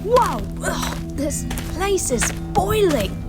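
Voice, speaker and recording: A woman playing a plucky, sceptical young travelling companion with a wry, expressive delivery in an audio drama. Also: extremely high pitch, takes it slowly, heavily acted. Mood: exclaiming, complaining. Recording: music under the voice.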